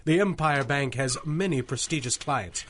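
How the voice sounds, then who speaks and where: An actor playing a formal, obsequious bank manager in a 1930s-style radio drama, eager to please and fussy. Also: male voice, medium pitch, moderate pace, slight background noise, close to an everyday voice. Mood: deferential.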